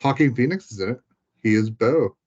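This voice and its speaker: A man's voice: medium pitch, animated, a little fast, a little mellow, dull audio. A man chatting casually and informally about films, thinking aloud as he goes.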